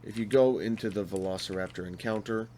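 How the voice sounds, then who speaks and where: A young man with a deep, low-pitched voice that is hoarse and worn, as if he is losing it. He talks loosely over gameplay, trailing off, correcting his own words and adding dry asides. A faint hum sits under the recording. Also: brisk, mellow. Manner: rambling, self-correcting, wry asides. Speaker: video game builder. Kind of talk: casual commentary over gameplay.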